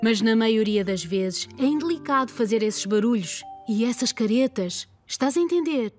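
A woman playing a patient, gently firm young mother with a European Portuguese accent in a children's cartoon dub. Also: fairly high pitch, expressive, normal speed, faint music behind, close to an everyday voice. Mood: gently scolding.

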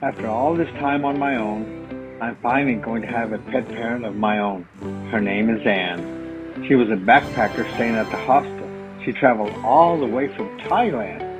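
A man's voice: mid-range voice, normal speed, music in the background, lightly acted, poor audio. A man voicing a friendly rescued dog who shows newcomers around, in an upbeat tour-guide manner. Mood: cheerful.

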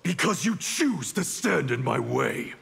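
A proud villainous ninja master in a fighting game. The voice is extremely gravelly and raspy, slow and commanding, full of cold menace. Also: male voice, medium pitch, very animated, a bit bright, full character voice. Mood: cold, menacing. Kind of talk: dramatic fighting game dialogue.

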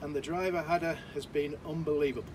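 A man's voice: on the high side, fairly even intonation, fast talker. A man in his sixties from the Isle of Man, giving an emphatic, chatty testimonial to camera on an outdoor balcony, with a camera mic a few metres off. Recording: outdoor recording with clear street noise.

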